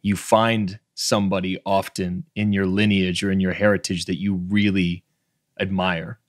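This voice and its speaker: A man in his thirties hosts a podcast from a room at home, speaking into a studio mic. His voice is low and sits dry and close, and he talks frankly and with emphasis, swearing freely. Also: level tone, normal speed, dry recording. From Canada's Maritimes. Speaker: podcast host. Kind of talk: casual interview chat. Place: home room with bookshelves, video call. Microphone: studio microphone on stand.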